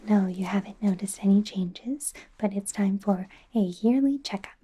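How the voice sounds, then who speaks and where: A woman playing an anxious, awkward young female werewolf medical student in a soft, breathy, whispered ASMR roleplay, speaking hesitantly with stammers. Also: mid-range voice, normal speed, a little hiss or hum, natural voice. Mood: gentle, hesitant. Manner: hesitant, stammering, flustered and gentle.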